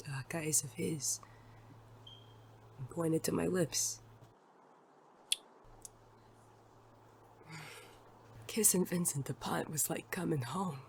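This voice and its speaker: A man's voice: fairly high pitch, vocal fry, a little hiss or hum. Blunt hard-boiled detective played by a man. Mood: tender, brooding. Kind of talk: noir audio drama with first-person narration.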